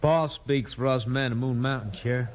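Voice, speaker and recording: An actor playing an unruffled, polite young mountain sharpshooter with a quiet American backwoods drawl on 1950s radio. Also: male voice, mid-range voice, normal speed, natural voice. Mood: cool, polite. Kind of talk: 1950s western radio acting. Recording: dull, faintly noisy old radio recording.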